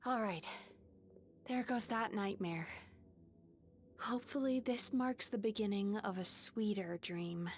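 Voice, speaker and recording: A woman voicing a calm, compassionate young dream therapist in a video game, gentle and composed. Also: mid-range voice, slow pace, long pauses. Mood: relieved. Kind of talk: English game voice acting. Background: game music.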